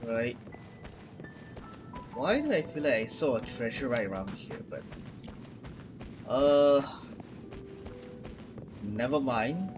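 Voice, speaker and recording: Unhurried, easygoing young male adventurer. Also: mid-range voice, sing-song, music in the background, low-quality recording. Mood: casual. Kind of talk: video game voice acting.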